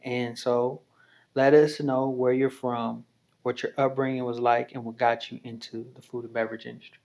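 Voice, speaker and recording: A man hosts a podcast interview in an easy, talkative way. He keeps checking in with his guest through tag questions and encouraging remarks. His voice sits in a middle pitch. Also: flat delivery, normal speed, creaky, a little mellow. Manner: chatty and encouraging, with many "right?" and "you know" tag questions. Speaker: podcast host. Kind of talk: casual interview hosting.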